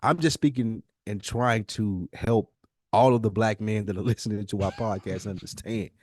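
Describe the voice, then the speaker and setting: A man's voice: fairly low pitch, fairly even intonation, moderate pace, dry recording, clean studio sound. A man co-hosting a podcast in casual debate, insistent and slangy, repeating his points for emphasis. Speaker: podcast co-host.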